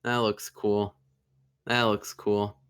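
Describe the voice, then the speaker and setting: A man's voice: lowish voice, little pitch movement, slow, a bit bright, clear, resonant tone. Laid-back young American man. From United States. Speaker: podcast co-host. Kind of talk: casual group chat.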